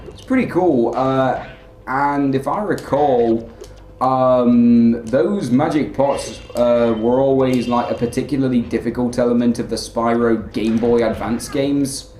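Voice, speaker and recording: A man giving rambling, filler-heavy let's play commentary in a flat, monotone way. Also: medium pitch, a little slow, reverberant, a little hiss or hum. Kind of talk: casual gameplay commentary.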